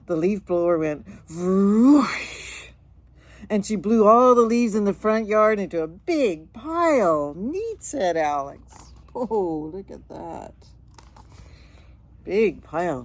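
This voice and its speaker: A woman reads a children's story aloud at a very unhurried pace. Her voice sits a little low, and she draws words out expressively, dropping in chatty asides about the pictures. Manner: expressive, drawn-out words and sound effects. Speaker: storyteller reading a picture book.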